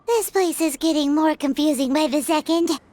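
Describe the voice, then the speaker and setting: A woman's voice: high voice, a little fast, mellow, natural voice. A woman voicing a small, childlike, chatty and easily spooked fairy companion in a video game, exclamatory in manner. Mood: baffled. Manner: refers to self in third person, exclamatory. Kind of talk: cartoonish video game voice acting.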